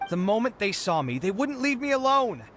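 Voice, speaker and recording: A man's voice: high voice, very animated, brisk, fairly bright tone, a little hiss or hum. A man playing a harried young inventor in a video game, dramatic and put-upon but kind-hearted, with an exasperated manner. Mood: upset, complaining. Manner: exasperated, theatrical, sighing. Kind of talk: animated video game character acting.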